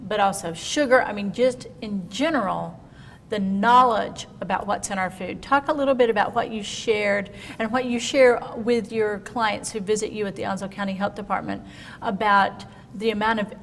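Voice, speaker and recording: A woman's voice: mid-range voice, normal speed, a bit bright. A woman host leads the interview, rambling and restarting her questions. She sounds distant from the mic. Her voice echoes in a large hall over faint noise. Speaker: show host.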